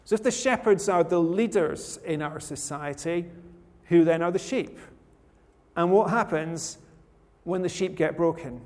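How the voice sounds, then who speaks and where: A man from rural Scotland gives a sermon in a high voice, easygoing and conversational, with gentle humor. His voice rings out with a long reverb in a large hall. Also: a little slow. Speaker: preacher.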